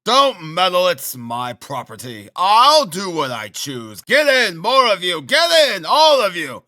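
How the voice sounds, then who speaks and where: A man reading a classic novel aloud, voicing a drunken, brutal young peasant who shouts ferociously. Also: high-pitched, huge pitch swings, a little slow, no room sound, pitched above the performer's own voice, exaggerated voice. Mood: defiant.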